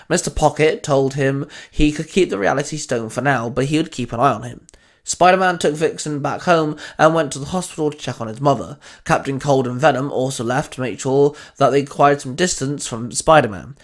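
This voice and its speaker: A man serves as narrator for a scripted fan fiction story. His voice sits at an average pitch as he reads out the events.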